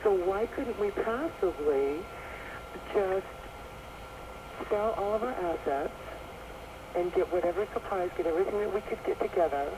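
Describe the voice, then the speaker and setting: High-voiced male caller on a radio show, chatty and eager, heard through shortwave static. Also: normal speed. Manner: eager, chatty, explains at length. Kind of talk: call-in radio chat.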